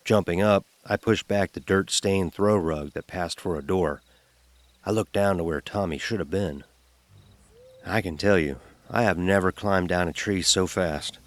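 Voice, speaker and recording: Deep-voiced actor as a man recalling boyhood horror. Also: lightly acted. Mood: tense recounting. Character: grown man recalling a frightening boyhood summer. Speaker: narrator. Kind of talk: first-person horror story narration.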